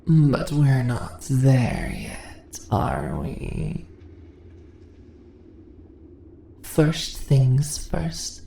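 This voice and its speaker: A woman voicing a seductive, dominant spider monster in an intimate ASMR roleplay, breathy and often whispering, with teasing, condescending questions. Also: extremely low pitch, sing-song, very slow, warm tone, heavily acted. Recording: roomy echo, faint background noise.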